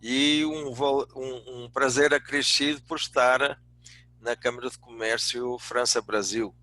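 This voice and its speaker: An older man with a European Portuguese accent giving a semi-formal prepared talk, courteous, often halting and repeating words. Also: mid-range voice, steady tone, pushed and bright, no room sound. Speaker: guest speaker, business executive and academic.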